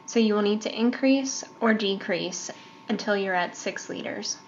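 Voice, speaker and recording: A woman delivers a step-by-step equipment tutorial in a measured, instructional manner. Her voice sits at a medium pitch, with some background noise and room ambience in the recording. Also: highs cut off. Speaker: medical device training instructor.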